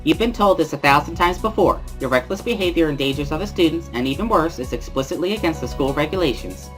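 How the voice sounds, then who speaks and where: A man voicing a playful, girlish high-school girl in a dramatic reading of a visual novel. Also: lively intonation, fast, a bit bright, faint music behind. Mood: playful scolding. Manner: girlishly playful, delivering formal scolding.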